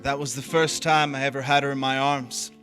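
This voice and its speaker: Male, actor playing cynical young noir drifter, terse and wry, forceful bright tone, soft guitar behind, radio drama. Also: mid-range voice, level tone, a little fast, natural voice. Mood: brooding. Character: cynical young drifter narrating his own downfall, hardboiled.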